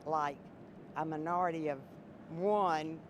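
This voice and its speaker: A woman serving as a juror, answering interview questions in a loud voice, often restarting and repeating herself. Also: low-pitched, steady tone, slow, dull audio, noisy background.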